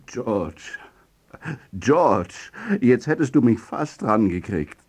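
A club boss in a radio drama, coolly superior in manner. He speaks very slowly in a low voice. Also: animated, darkish tone, slightly rough, exaggerated voice. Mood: amused.

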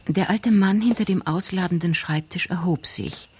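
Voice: medium pitch, moderate pace, a little hiss or hum. A narrator reading scripted literary prose for a radio crime drama in a composed, descriptive manner.

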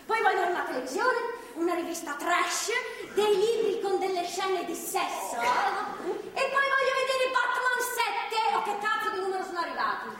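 Shrill, defiant young woman, echoey stage. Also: animated, moderate pace, few pauses, a crowd in the background. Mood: sarcastic, demanding. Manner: sarcastic, crude, emotionally explosive. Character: angry, foul-mouthed, defiant young pregnant captive woman. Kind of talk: live stage drama acting. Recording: distant, echoey theatre recording with noise.

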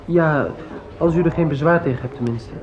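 A dull, old radio play recording of a man acting an earnest young son and lover. His voice sits fairly high for a man, and he speaks haltingly but insistently. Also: close to an everyday voice. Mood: hesitant, earnest. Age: young adult. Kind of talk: naturalistic radio drama acting.

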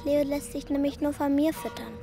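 A woman's voice: high voice, fairly even intonation, normal speed, over music. An actress playing an earnest, pious twelve-year-old girl in a radio drama, eager and childlike.

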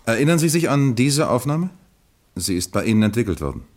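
An actor playing a calm, probing East German police captain in a radio crime drama, questioning in an official, deliberate way. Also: male voice, mid-range voice.

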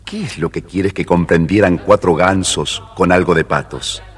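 A man's voice: low voice, moderate pace, breathy edge. A man narrating a scripted children's story expressively as storyteller.

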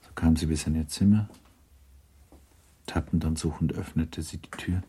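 A man narrating a literary radio play in a grave, measured, restrained manner. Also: very deep voice, steady tone, warm tone, slightly rough. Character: grave, restrained narrator of a 19th-century novel.

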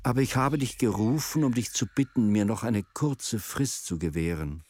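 A man's voice: on the low side, normal speed, darkish tone, natural voice. A man voicing a pleading, proud fox woman as a storyteller in a radio play, earnest in delivery.

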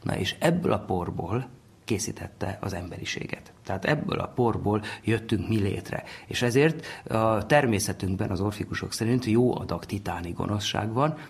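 A man's voice: fairly low pitch, expressive, creaky, husky. A man, an academic expert, explaining history like an informal storyteller, conversational and full of asides. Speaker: ancient history professor, expert guest. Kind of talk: informal storytelling lecture in an interview.

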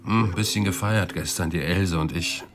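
Actor as a chummy, low-voiced debt collector. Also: male voice, moderate pace, music in the background, lightly acted. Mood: chummy, teasing. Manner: casual, wry, matter-of-fact about threats. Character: chatty, cynical male debt collector, menacing yet chummy. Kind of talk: German radio drama acting.

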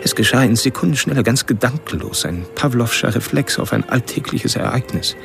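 A man's voice: lively intonation, fairly quick. An actor playing a cold, articulate serial killer who narrates his stalking in a radio play, introspective and precise. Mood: introspective, detached. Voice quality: low, gravelly voice. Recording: music under the voice.

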